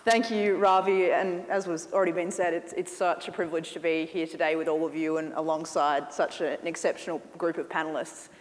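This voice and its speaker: A woman's voice: low voice, steady tone, a little fast, hardly any pauses, slight background noise. A woman on a panel opening her remarks with gracious, formal thanks. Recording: echoey hall.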